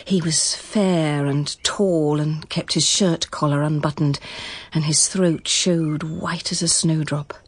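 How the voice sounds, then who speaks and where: A woman's voice: sits low, steady tone, slow pace, hardly any pauses, slightly rough, dry recording. A woman with a British accent reading literary, scripted narration for a radio drama.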